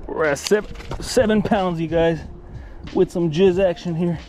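A man vlogging while fishing on a canal bank, excited and repeating himself, filmed on a head-mounted camera. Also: sits high, normal speed, soft background music. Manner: excited, repeats "you guys", laughs often. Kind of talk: casual vlog commentary. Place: outdoors on a concrete aqueduct bank. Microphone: head-mounted action camera.

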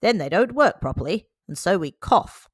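A woman reading the lines of a brisk, no-nonsense male dog doctor in a picture book, firm and explanatory. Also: fairly low pitch, very animated, husky, dry recording, clean studio sound. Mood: lecturing.

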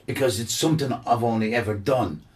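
A man's voice: medium pitch, brisk, far from the mic. A man guest musician chatting casually, enthusiastic and rambling with repeated words. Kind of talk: casual chat.

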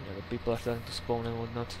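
A man's voice: medium pitch, little pitch movement, rapid-fire. A young man with a Slovak accent, rambling casually as he plays a farming game. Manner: chatty, rambling, with laughs and mild swearing. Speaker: gaming YouTuber. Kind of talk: casual let's-play commentary. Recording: game sounds and engine noise behind.

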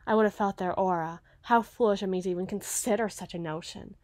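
A woman's voice: mid-range voice, brisk, a little mellow, no echo, lightly acted. A woman playing a scheming, obsessive, smitten witch in an ASMR roleplay, speaking theatrically in old-fashioned phrases. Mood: brooding infatuation. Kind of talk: ASMR roleplay audio drama.

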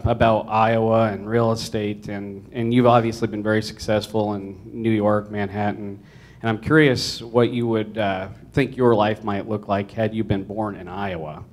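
A man in his thirties hosting a casual onstage interview into a handheld mic, with halting, hesitant phrasing. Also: on the low side, fairly even intonation. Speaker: interviewer, event host. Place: on stage in a large event hall.

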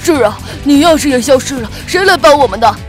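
A worried, anxious cartoon king pleads urgently, voiced by a woman. The voice sits high and moves around a lot, with faint music behind. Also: animated, quick pace.

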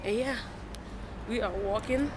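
A woman's voice: fairly high pitch, measured pace. A young woman chatting straight to a handheld phone camera as she walks through city streets for her travel vlog. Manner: chatty, talks straight to the camera while walking. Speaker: vlogger. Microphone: phone held at arm's length. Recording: noisy street sound. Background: traffic and street noise.

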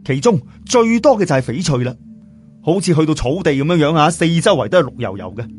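A man with a Cantonese accent narrating a scripted story in a dramatic, expressive way. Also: fairly high pitch, fairly quick. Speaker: audiobook narrator. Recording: music bed under voice. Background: background music.

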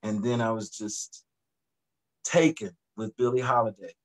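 Relaxed middle-aged man on a video call. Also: on the low side, a little slow, poor audio. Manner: relaxed, reflective storytelling. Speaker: guest singer. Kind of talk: casual video-call interview. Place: home studio, indoors.

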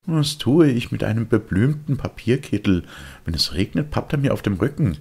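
A man's voice: mid-range voice, lively intonation, moderate pace, creaky, darkish tone, husky, pitched above the performer's own voice. A man voicing a shrewd, mocking street boy who teases scornfully in a children's storybook. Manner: teasing, scornful.

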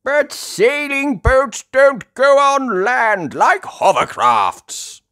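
An earnest, grumbling old grandfather pig from a cartoon storybook, put on by a man. He speaks very slowly and emphatically, with the pitch lifted and swinging widely. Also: huge pitch swings, fairly bright tone, dry recording, clean studio sound, heavily acted. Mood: indignant.

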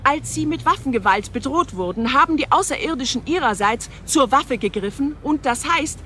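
An actress playing a self-assured news reporter in a radio drama, now and then searching for words. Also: female voice, high voice, lively intonation, moderate pace, fairly bright tone, slight background noise. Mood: matter-of-fact, assured.

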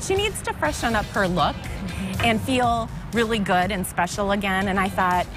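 A woman's voice: medium pitch, moderate pace. A woman in her forties speaking casually as a guest in an on-location interview. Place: indoor shopping mall. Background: music.

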